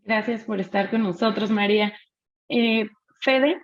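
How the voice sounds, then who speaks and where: A woman's voice: mid-range voice, unhurried, muffled recording. A woman hosting a webinar over a video call, moderating in a conversational way and often tagging her phrases with a question. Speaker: host.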